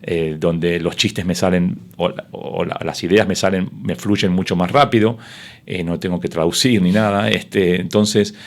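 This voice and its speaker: A man's voice: low-pitched, fairly even intonation, unhurried. A middle-aged man, a sports broadcaster whose first language is Spanish, telling his story in an interview with many fillers and restarts.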